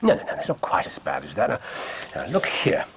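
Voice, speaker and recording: An actor playing a brilliant, impatient male detective in a radio drama, sardonic, excitable and emphatic. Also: on the low side, fairly quick, gravelly, slight background noise, full character voice. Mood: sardonic, absorbed.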